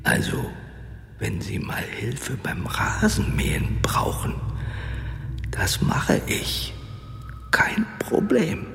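A furtive, over-helpful man in a radio drama. He murmurs slowly and hesitantly with a breathy creak in a reverberant space. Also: medium pitch, huge pitch swings, fairly bright tone, in a whisper, exaggerated voice. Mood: furtive, eager. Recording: reverberant, slightly distant.

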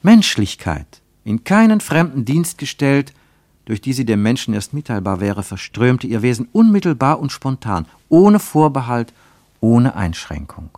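A man playing an earnest, contemplative narrator in a literary radio play, phrasing deliberately and rhetorically. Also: medium pitch, big pitch swings, darkish tone, no echo, clean studio sound.

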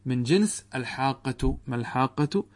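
A man's voice: mid-range voice, expressive, moderate pace. A man teaching Arabic grammar to students, posing questions to the class and answering them himself, reciting quoted text. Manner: addresses students directly, checks understanding.

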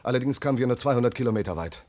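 An actor in a 1950s German radio drama playing a harried, brusque wartime inspector, weary and impatient, sometimes stammering. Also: male voice, medium pitch, expressive, very fast, darkish tone, close to an everyday voice. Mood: weary, recollecting. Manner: agitated, impatient, stammers and repeats.

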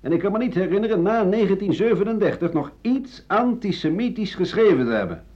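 Husky, bitter old exiled writer. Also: male voice, high-pitched, animated. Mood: indignant, defensive. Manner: bitter, halting, sardonic monologue, often dropping to a mutter. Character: bitter, aging exiled French writer-doctor, recalling his persecution. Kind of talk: radio drama monologue. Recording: muffled 1980 radio broadcast.

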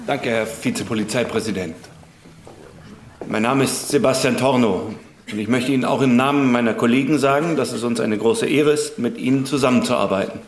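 An actor playing an earnest, deferential young police recruit giving a formal, respectful speech in a radio drama. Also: male voice, mid-range voice, a little fast, lightly acted. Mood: earnest, proud. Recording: echoey hall, faint noise.

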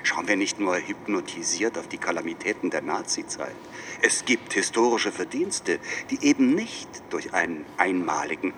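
Pompous, low-voiced lawyer. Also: male voice, a bit bright. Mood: self-assured. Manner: rhetorical, self-important, declaiming. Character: pompous, eloquent lawyer championing old Prussia. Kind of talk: German radio drama acting. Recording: clear background noise in a moving car. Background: vehicle noise.

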